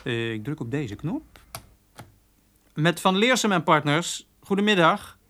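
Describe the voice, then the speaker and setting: An eager young office junior in a radio play. His voice is high for a man, and he talks in a cheeky, chatty way, stumbling into small hesitations. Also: sing-song, a little slow, sharp tone, exaggerated voice. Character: cheeky eager young male office errand boy named Jeroen. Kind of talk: radio drama acting.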